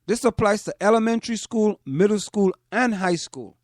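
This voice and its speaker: A man from Trinidad and Tobago, speaking as a show host in deliberate commentary and repeating phrases for emphasis. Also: sits high, lively intonation, no room sound, clean studio sound. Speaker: host of a community parents' talk show. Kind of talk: spoken commentary to listeners.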